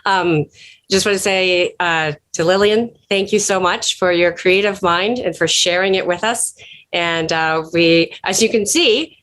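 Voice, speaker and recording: Female event host, lowish register, effusive and encouraging, speaking informally on a video call. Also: a little slow, a bit bright. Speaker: organization leader hosting an online event. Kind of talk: informal thank-you remarks. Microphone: online video call.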